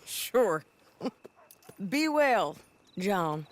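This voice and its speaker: An actress playing a bold, wry American bounty hunter in a western video game, with a creaky, sly drawl. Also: female voice, mid-range voice, very animated, very slow, a little hiss or hum. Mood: breezy, sly.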